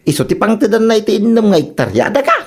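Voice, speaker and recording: A woman acting an excitable, teasing spouse eager for a son in a radio comedy drama. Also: medium pitch, rapid-fire, husky. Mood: boastful, eager.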